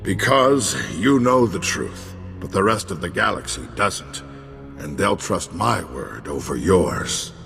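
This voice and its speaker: An actor playing a proud, earnest, defeated Republic commander in a video game, with a grave manner. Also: male voice, low voice, lively intonation, creaky, a bit bright, exaggerated voice. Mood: grave, pleading. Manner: slow, grave, pleading. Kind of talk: dramatic video game dialogue. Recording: long reverb, music behind.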